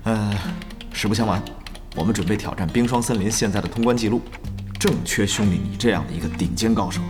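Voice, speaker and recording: An actor in a Mandarin audio drama playing an eager young guild gamer who flatters, haggles and mutters in exasperation. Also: male voice, fairly low pitch, a little fast, in a large hall, music in the background, lightly acted. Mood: friendly, persuasive. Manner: ingratiating, animated, with muttered exasperated asides.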